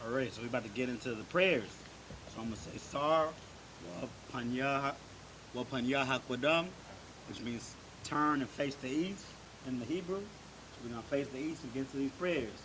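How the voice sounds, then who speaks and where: A man's voice: medium pitch, moderate pace. A man casually introducing a religious service, talking in a loose, repetitive way with many filler phrases. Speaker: congregation member hosting a religious service. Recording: steady background hiss.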